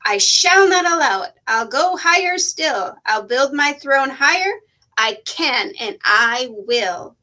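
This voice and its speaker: A boastful turtle king from a children's storybook, acted out by a woman reader. The voice is high and forceful, with unhurried, pompous delivery. He exclaims and orders like a bossy ruler who thinks very well of himself. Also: expressive, heavily acted, low-quality recording. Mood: indignant. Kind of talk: children's storybook read-aloud.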